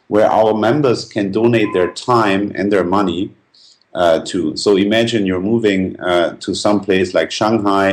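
A man's voice: low voice, a little mellow, poor audio. A man giving explanatory interview answers, earnest and feeling his way through his phrasing. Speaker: company founder being interviewed.

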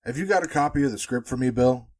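A man's voice: medium pitch, fast, close to an everyday voice. A man playing a chatty, wisecracking radio announcer in a 1940s-style radio drama. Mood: chatty, joking. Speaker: actor in an amateur radio drama re-creation.

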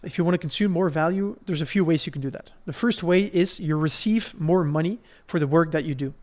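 Higher-pitched man explaining things step by step. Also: brisk, a little mellow. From Belgium. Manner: didactic, step-by-step, checks in with "right?". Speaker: podcast host explaining investing. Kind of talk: explanatory conversational podcast talk.

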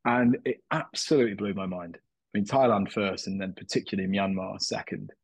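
Guest, man in his 30s from Manchester; low, husky, easygoing, self-mocking. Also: no room sound. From Manchester, England. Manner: relaxed, self-deprecating, halting with fillers and restarts. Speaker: guest, documentary maker. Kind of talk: casual interview chat.

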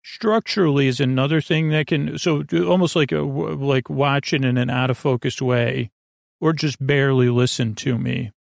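Creaky, meandering man hosting a sleep podcast. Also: mid-range voice, steady tone, normal speed, no room sound, studio quality. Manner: meandering, hesitant, trailing off into tangents. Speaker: podcast host. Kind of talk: rambling sleep podcast intro, soothing monologue.

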